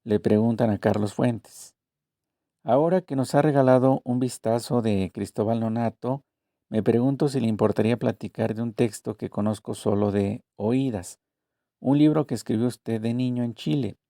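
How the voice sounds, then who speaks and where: A man reading a translated interview aloud, voicing both the questions and the answers in a measured, deliberate way. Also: medium pitch, warm tone, no room sound, clean studio sound.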